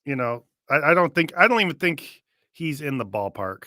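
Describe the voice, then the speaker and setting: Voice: medium pitch, sing-song, normal speed, bright, forceful tone, dry recording, studio quality. A podcast co-host chatting casually and riffing.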